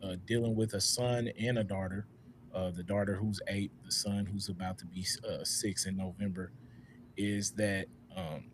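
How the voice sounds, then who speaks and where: A man in his thirties hosting a casual panel talk, speaking in a reflective, unhurried way with many "uh"s and a loud voice. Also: low-pitched, background noise. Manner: relaxed, reflective, frequent "uh" and "all right?". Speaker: podcast host.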